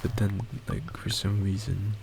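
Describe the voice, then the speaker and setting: A young man, a college student, talking in a quiet, soft voice about himself, hesitant and halting with many restarts and fillers, into a boosted desktop mic. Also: on the low side, little pitch movement, creaky, noisy background. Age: young adult. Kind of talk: rambling personal monologue. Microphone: desktop microphone with boosted gain.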